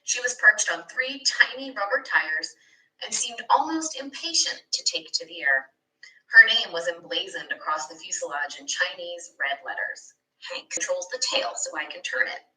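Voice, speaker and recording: A woman reading a children's book aloud like a teacher, expressive and animated, with asides to young listeners. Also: on the low side, moderate pace. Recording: echoey room, distant microphone.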